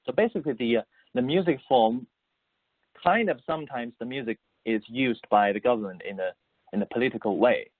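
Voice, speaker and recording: A man from Beijing whose first language is Mandarin, speaking English with a Mandarin Chinese accent as an interview guest. He answers haltingly and repeats words as he searches for phrasing. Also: medium pitch, no echo. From Beijing, China. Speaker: guest composer and musician. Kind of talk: interview answers over the phone. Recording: tinny dry phone line.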